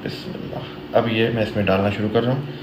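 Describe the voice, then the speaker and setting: A man's voice: on the low side, fairly even intonation, fast, a little mellow. A man in his forties talking to camera in his home kitchen, walking through the steps of a cooking vlog in a chatty way, mixing in English phrases and blessings. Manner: chatty, explains each step, sprinkles English phrases and Islamic blessings. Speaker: cooking vlogger. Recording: echoey kitchen with background noise.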